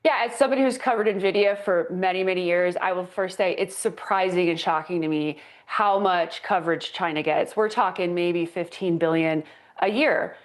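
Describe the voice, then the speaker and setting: A woman's voice: fairly low pitch, normal speed. A woman analyst answering as a guest in a financial TV interview, emphatic and returning to her key points. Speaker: tech stock analyst guest.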